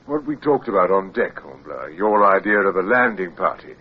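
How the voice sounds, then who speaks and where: Supportive fellow officer, husky low voice, measured and tactful, muffled vintage radio play. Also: male voice, animated. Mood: tactful prompting. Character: tactful, supportive British naval lieutenant. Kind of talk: radio drama acting. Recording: muffled old radio recording.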